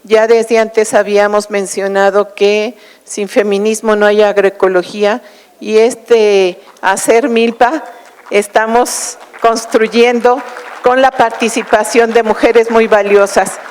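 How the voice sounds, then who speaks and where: Woman moderator with a smooth, resonant voice, formal intro, long echo of a big hall. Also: mid-range voice, fairly even intonation, a little slow, darkish tone. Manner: formal, reading out a list of titles. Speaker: event moderator.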